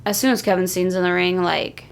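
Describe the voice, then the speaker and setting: A woman's voice: fairly low pitch, little pitch movement, fairly quick, creaky. A woman co-hosting a casual wrestling discussion podcast, enthusiastic and full of opinions. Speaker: podcast co-host.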